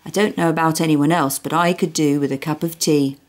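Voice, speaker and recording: A woman voicing a wry, kindly mother who teases gently in an audiobook. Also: deep voice, steady tone, fairly quick, lightly acted.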